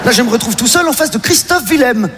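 A man doing absurd stand-up before a live audience, talking in halting bursts full of fillers. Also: very high voice, lively intonation, fairly bright tone, slight background noise. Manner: hesitant, halting with many fillers. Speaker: stand-up comedian. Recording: live hall recording aired on radio.

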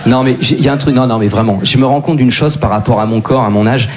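A man's voice: mid-range voice, quick pace, a crowd in the background. A man in his forties doing a crude, brash, self-deprecating stand-up set. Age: 40s. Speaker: stand-up comedian. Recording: live show with audience.

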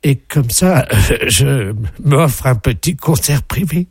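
A man's voice: medium pitch, lively intonation, takes it slowly, husky, no room sound, clean studio sound. A man on a prank phone call, gushing with overly effusive praise. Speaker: prank caller.

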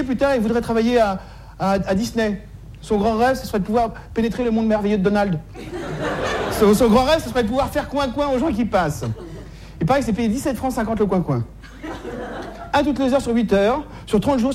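A man's voice: very high voice, a little mellow, a little hiss or hum. A man doing a stand-up comedy monologue in slangy, streetwise, mock-naive style, repeating phrases as he goes, on a live stage recording.

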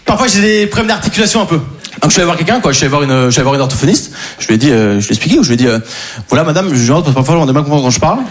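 A man in his twenties doing stand-up, halting and self-mocking with many fillers. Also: fairly high pitch, expressive. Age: 20s. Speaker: stand-up comedian. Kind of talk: stand-up comedy set. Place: comedy club stage before a live audience.

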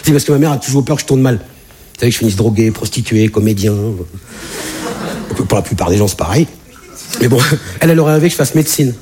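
A man doing a stand-up comedy set on a club stage, animated, with big jumps in voice for punchlines. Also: fast, long pauses. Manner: animated, jumps high for punchlines and acted-out quotes. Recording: live club audience laughter. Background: audience laughter.

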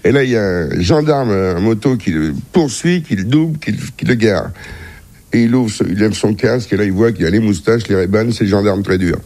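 A man's voice: on the low side, expressive, a little fast, a little mellow. A man telling a joke casually on the radio.

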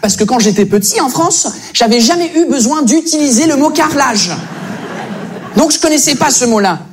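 A British man whose first language is English, doing stand-up comedy with animated storytelling in fluent French. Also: very high-pitched, moderate pace. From Britain. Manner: animated storytelling, acting out other people.

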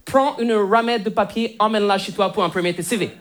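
A woman's voice: medium pitch, a little fast. A woman performing a comic monologue as a boss, addressing an employee in a mock-friendly, condescending way. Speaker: stand-up comedian. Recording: live audience crowd noise. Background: audience.

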